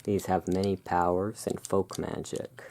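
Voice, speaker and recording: A very low-pitched, creaky voice in a soft-spoken ASMR roleplay. The speaker plays a courteous gothic emporium owner, presenting wares in a hushed, deliberate manner. The delivery is very slow and unhurried. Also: level tone, a little hiss or hum.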